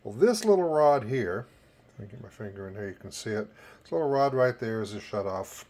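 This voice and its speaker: A man's voice: lowish voice, animated, a little fast, a little mellow. Folksy man giving a repair demo. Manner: folksy, plain-spoken. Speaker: repair technician presenter. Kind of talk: informal hands-on repair demonstration. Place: indoors at a repair bench. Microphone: cell phone camera. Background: music playing from tape machine.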